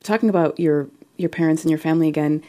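A woman interviewing an author on a radio show, asking her questions quickly and in a measured way. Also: sits low, mellow.

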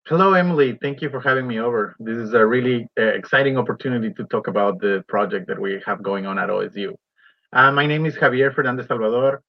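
A man from Ecuador, a university professor, explaining his work as a radio interview guest in a halting, pause-filled way. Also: mid-range voice, no room sound, highs cut off.

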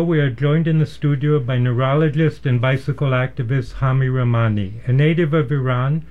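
A man presents a radio show in a formal, earnest manner. His voice sits at a medium pitch and he speaks in measured, prepared phrases. The studio sound is a little dull and lo-fi. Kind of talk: measured scripted introduction and interviewing. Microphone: studio microphone.

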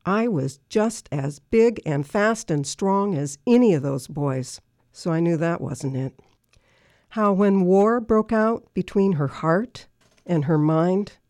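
A woman's voice: low-pitched, a little mellow, dry recording. Older woman reading a remembrance, dry sound. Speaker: listener contributor reading own writing. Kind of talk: reading a personal remembrance aloud.

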